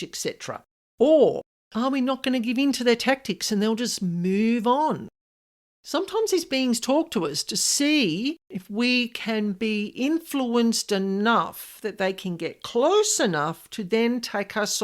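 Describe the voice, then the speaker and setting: A woman hosting a podcast, telling personal stories and giving advice in an informal, emphatic monologue, repeating key words for stress. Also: fairly high pitch. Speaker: podcast host, paranormal specialist.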